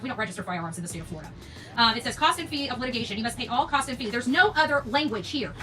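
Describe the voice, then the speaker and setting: A woman's voice: high voice, sharp tone. A woman livestreamer from the United States, indignant and emphatic, repeating herself for stress as she comments and reads documents aloud. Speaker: YouTube channel host. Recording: distant mic, noticeable background noise.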